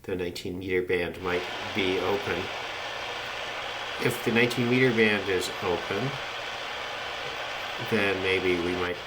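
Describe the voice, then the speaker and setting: A man with a fairly low voice talks casually through a hands-on demonstration, like a hobbyist showing his gear. Loud static and hiss from a shortwave receiver run behind him. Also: a little slow, pauses often. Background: shortwave receiver static and interference.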